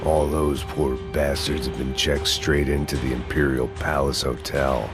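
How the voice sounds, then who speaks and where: A burnt out ex-cop narrating, super deep and cynical, music under it. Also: male voice, flat delivery, a little slow. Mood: weary. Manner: cynical, world-weary, sardonic. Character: weary hard-boiled male ex-cop. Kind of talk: noir voice-over narration.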